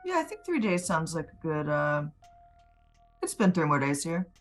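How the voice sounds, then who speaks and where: A woman's voice: low-pitched, big pitch swings, normal speed, faint music behind. A woman playing a tabletop roleplaying game, talking casually and planning with the others.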